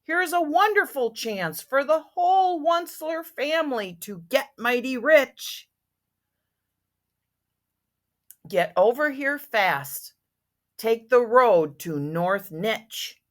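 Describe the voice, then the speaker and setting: A woman's voice: high-pitched, expressive, slow pace, a bit bright, voice pushed up, exaggerated voice. A woman reading the part of a greedy, brash male tradesman in a storybook, boastful and brusque. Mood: eager, commanding.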